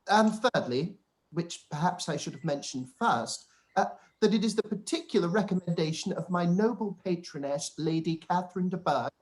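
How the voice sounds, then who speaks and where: A man's voice: high-pitched, low-quality recording. An actor playing a pompous, self-satisfied young clergyman, long-winded and formal, in a period radio play. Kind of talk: period drama live-read radio play.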